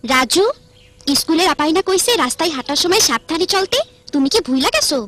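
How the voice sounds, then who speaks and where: A woman's voice: very high voice, expressive, quick pace, fairly bright tone, no room sound. A woman playing an eager, caring young village girl in a cartoon, with a Bangladeshi accent. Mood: alarmed scolding.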